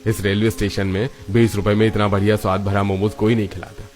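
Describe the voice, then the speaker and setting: A man's voice: fairly low pitch, level tone, fast talker, darkish tone, close to an everyday voice. A man voicing a kindly, chatty older railway station master in a cartoon story, with faint music behind. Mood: approving, chatty.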